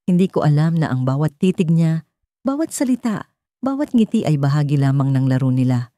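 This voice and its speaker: Low, mellow woman narrator, dry sound. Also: very animated, a little fast, no room sound. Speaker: story narrator. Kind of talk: scripted first-person narration of a sad love story.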